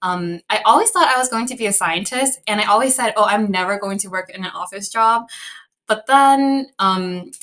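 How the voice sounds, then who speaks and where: A woman's voice: medium pitch, moderate pace, pushed and bright. A young woman from Chicago with an American accent, guest on a podcast, chatty and upbeat, laughing often as she talks. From Chicago, USA. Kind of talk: casual interview conversation.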